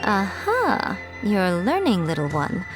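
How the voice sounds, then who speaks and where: A woman's voice: medium pitch, wildly swooping pitch, slow pace, poor audio. A woman playing a sly, self-assured shrine familiar spirit in a video game, speaking in a wry, teasing, nonchalant way. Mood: knowing. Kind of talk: video game character voice acting. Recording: music behind the voice.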